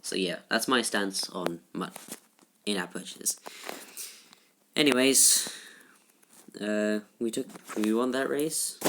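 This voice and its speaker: Subdued young male gamer, noisy home recording. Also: on the low side. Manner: halting and rambling, with many restarts; subdued, somewhat flat delivery. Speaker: gaming YouTuber. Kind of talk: casual gameplay commentary. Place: shared room at home.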